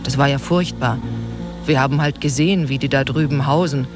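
A man reading a first-person memory aloud in a plain, calm way for a radio piece. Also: medium pitch, brisk. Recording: voice under clear music.

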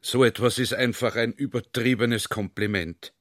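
A man's voice: medium pitch, fairly even intonation, normal speed, dry recording, close to an everyday voice. Man acting a middle-aged general, gallant, formal, cautious, radio play, close dry sound. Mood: cautious, polite. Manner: formal, gallant, old-fashioned courtesy.

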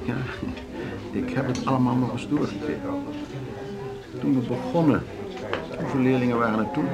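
An actor playing a proud, middle-aged board chairman in a radio drama, speaking in formal, measured oratory. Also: medium pitch, dull audio, loud music behind the voice, close to an everyday voice. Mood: wistful. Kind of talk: Dutch radio drama acting.

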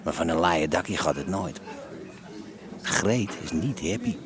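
Radio drama narrator: street-wise red-light insider, low register, wry, confiding. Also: male voice, very animated, unhurried, a crowd in the background, full character voice.

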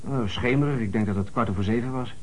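An actor playing a sporty, obliging but vague local witness in a Dutch radio play, husky-voiced, informal and hesitant. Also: male voice, mid-range voice, quick pace, natural voice, low-quality recording. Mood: unsure, recalling.

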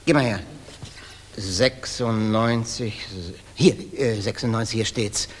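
An actor playing a deferential, halting wine waiter in a 1960s German radio drama. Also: male voice, fairly low pitch, steady tone. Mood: hesitant. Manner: halting, formal, servile. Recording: reverberant mono 1960s radio recording.

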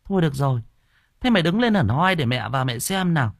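A man's voice: sing-song, fast, creaky, dry recording, clean studio sound, heavily acted. A man voicing a worried, nagging old mother in a spoken horror story. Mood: scolding. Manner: shrill, nagging, high-pitched.

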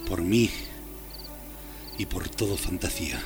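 A solemn imperial messenger of fantasy radio theatre, speaks in a low register. He is slow, deliberate and portentous, with music playing clearly underneath. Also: expressive, exaggerated voice. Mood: solemn instructing. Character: grave, solemn male messenger and sage of the imperial tower. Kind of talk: radio drama acting.

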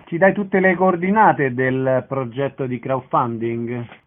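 A man's voice: medium pitch, slow. A man putting short, direct questions as a radio interviewer. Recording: noisy, tinny phone-like radio sound.

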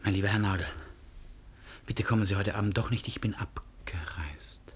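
A man's voice: lowish voice, moderate pace, slight background noise, close to an everyday voice. An actor playing a tormented, self-mocking man in a 1950s German radio drama, moving between murmurs and outbursts. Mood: stunned, hushed.

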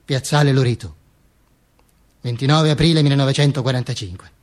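A man's voice: mid-range voice, a little fast. A man performing a dramatic radio monologue in a declamatory way, with emphatic, repeated phrases. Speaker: stage actor performing a monologue.

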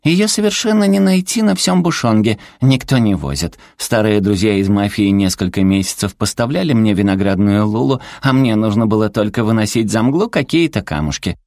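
A man's voice: on the low side, lively intonation, normal speed, hardly any pauses, darkish tone, no room sound, clean studio sound, voice pushed up. A man voicing a cute, small, furry female villain in an audiobook, sweetly polite and pouty with gushing manners. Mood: gushing, earnest.

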